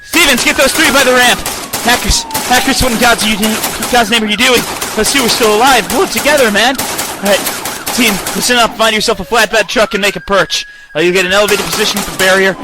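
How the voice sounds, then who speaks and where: A man's voice: sits high, brisk, lightly acted. A man playing a harried military squad leader in a radio drama, urgent and stammering as he barks orders. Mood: frantic, shouting orders. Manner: urgent, barking orders, stammering under fire. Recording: very noisy, gunfire and sirens behind. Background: gunfire, machine guns, siren.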